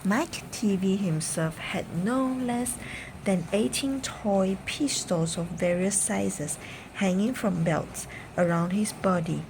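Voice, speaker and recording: A woman reading a children's bedtime story aloud in an expressive narrating style. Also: medium pitch, slow. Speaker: storybook reader. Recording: clear background noise.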